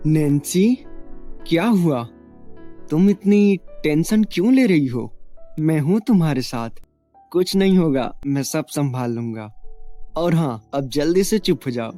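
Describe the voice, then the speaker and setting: A woman playing an earnest, reassuring young Indian man in an animated family melodrama, with a placating way of talking. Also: deep voice, unhurried, soft background music. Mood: concerned, soothing.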